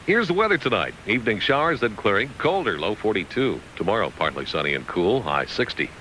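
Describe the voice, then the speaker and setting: American guy reading radio news, low forceful voice, really lively intonation, slight hiss. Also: a little fast, poor audio.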